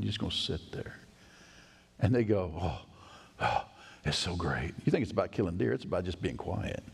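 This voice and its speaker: A middle-aged man preaching a sermon as pastor in a large church sanctuary, animated, acting out hurried voices and dropping to a hush. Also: fairly low pitch, vocal fry, husky. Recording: long church reverb.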